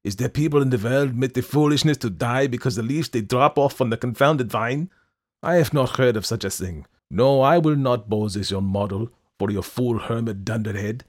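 A man voicing a fierce old painter with a put-on German accent, shouting in broken English. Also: medium pitch, normal speed, pitched above the performer's own voice, natural voice. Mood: scornful. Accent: German-sounding put-on.

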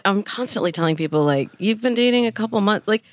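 A woman's voice: medium pitch, animated, quick pace, dry recording, clean studio sound. A woman speaking as a dating coach and interview guest, explaining things conversationally and with emphasis. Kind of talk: conversational expert advice.

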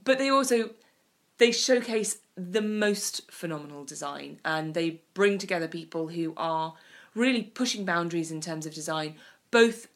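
A woman's voice: lowish voice, normal speed. A woman co-hosting a casual chat, talking with eager emphasis about design. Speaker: podcast co-host.

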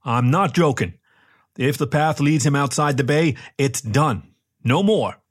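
A man's voice: a little high, expressive, unhurried, voice pushed up. A man voicing a male spaceship captain in an audiobook story, wry, teasing and emphatic. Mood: firm, exasperated.

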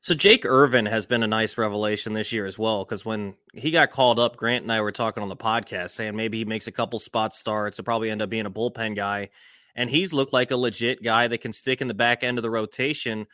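Bright-voiced American man co-hosting sports talk. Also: fairly low pitch, steady tone, brisk, no room sound, clean studio sound. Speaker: sports podcast co-host.

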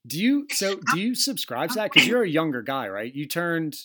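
Male podcast host on a video call, slightly high voice, loose and profane. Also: very animated, moderate pace, bright, forceful tone, slight background noise. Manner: laid-back, swears freely, laughs often. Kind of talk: casual interview chat. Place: home in Delaware.

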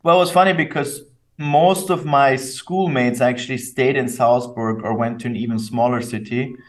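A young adult man from Austria, bilingual in English and German, answering interview questions in a thoughtful, measured way with fillers and restarts. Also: mid-range voice, fairly even intonation, distant mic. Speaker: company co-founder, guest.

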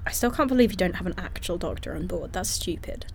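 A woman's voice: fairly low pitch, animated, fast, slight background noise. A woman playing a blunt but considerate character in an audio drama, frank and slightly awkward. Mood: blunt, concerned.

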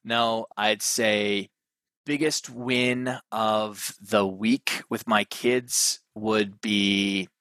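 Man, 30s, deep and forceful, dry close sound, rambling guest answers. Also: steady tone, extremely slow, dry recording. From Canada. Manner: rambling, thinks aloud with restarts. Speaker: guest, mental performance coach. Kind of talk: casual interview chat.

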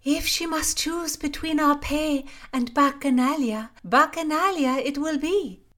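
A woman's voice: high-pitched, unhurried, a bit bright, voice pushed up. Woman voicing a scornful gossiping lady-in-waiting. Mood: gossipy. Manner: breathless, scornful.

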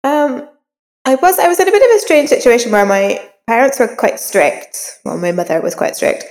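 A woman's voice: fairly high pitch, expressive, normal speed, darkish tone, long reverb tail. A woman in her forties with a Northern Irish accent, chatting casually as an interview guest, slightly congested from a cold. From rural Northern Ireland. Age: 40s. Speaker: crime novelist, interview guest.

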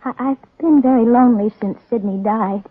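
A woman acting a fragile, lonely rich young woman who is dazed and unravelling, halting and stammering in 1940s radio style. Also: on the high side, slight background noise, lightly acted. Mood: confused, halting. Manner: halting, stammering, repeating words. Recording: thin narrow-band old radio recording.

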